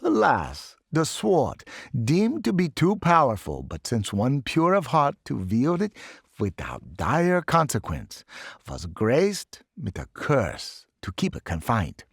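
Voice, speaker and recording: Brief: man voicing a German-accented professor, lively pitch, dry read, theatrical lecturer. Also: slow pace, vocal fry, breathy edge, no room sound, full character voice. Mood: dramatic. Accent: put-on German. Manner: dramatic, playful storyteller.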